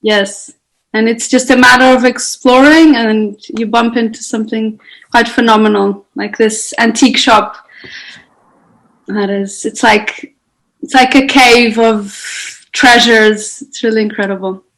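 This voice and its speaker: A woman's voice: fairly high pitch, fairly even intonation, measured pace, fairly bright tone, poor audio. Young woman, reflective. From Portugal. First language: Portuguese. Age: 20s. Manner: reflective, searching for words, light laughter. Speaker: guest, photographer. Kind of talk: conversational podcast interview.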